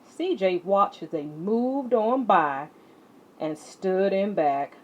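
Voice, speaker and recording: A woman reads a picture book aloud at an unhurried pace, with lots of rise and fall in her voice. Her storytelling is deliberate and expressive. The recording sounds a little dull and lo-fi. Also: mid-range voice. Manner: animated, deliberate storytelling delivery. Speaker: read-along storyteller.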